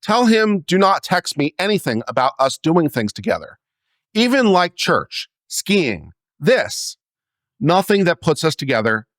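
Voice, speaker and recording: A man reading a letter aloud as a dramatic performance, in a theatrical, expressive manner. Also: fairly high pitch, a little slow, dry recording, clean studio sound. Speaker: reader of a letter.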